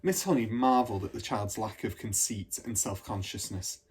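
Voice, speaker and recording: A man reading a children's story aloud as expressive scripted narration. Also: fairly low pitch, normal speed. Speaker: storybook reader.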